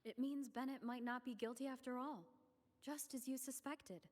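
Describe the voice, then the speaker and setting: A woman voicing a gentle, loyal young female companion in a video game. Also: high voice, steady tone, moderate pace, in a large hall, close to an everyday voice. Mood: hopeful.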